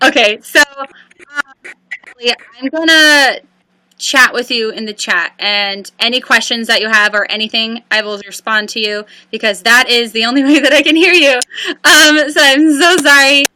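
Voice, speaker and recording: A woman's voice: fairly high pitch, fairly even intonation, moderate pace, sharp tone, rough recording. A woman hosting a casual livestream, often laughing nervously and apologizing while fixing a call. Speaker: livestream host.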